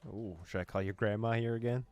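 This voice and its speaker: A man with a very low, deep voice reads a horror visual novel aloud, translating the characters' lines. He narrates dramatically and adds amused little asides of his own. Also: fairly even intonation, fast, a little mellow. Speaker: gaming YouTuber.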